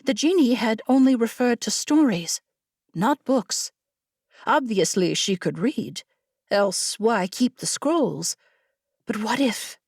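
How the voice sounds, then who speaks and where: A woman reads dramatic fiction in a fairly high, very expressive voice. She takes her time with measured, suspenseful phrasing, and the sound is dry and close. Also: no echo. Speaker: audiobook narrator. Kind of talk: dramatic scripted fiction narration.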